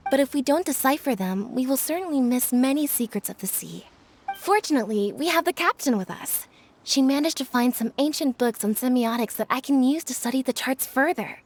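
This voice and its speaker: An actress playing a proud, chatty young ship's navigator in a video game, explaining things with eager enthusiasm. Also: female voice, high-pitched, lively intonation, moderate pace, a little hiss or hum. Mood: enthusiastic, admiring. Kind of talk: scripted video game dialogue.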